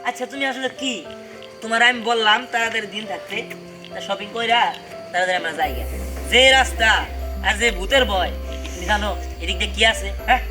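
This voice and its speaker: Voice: medium pitch, fast talker, close to an everyday voice. An actor voicing a nagging, anxious traveller who complains fretfully in a cartoon. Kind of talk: cartoon voice acting. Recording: music under the voice.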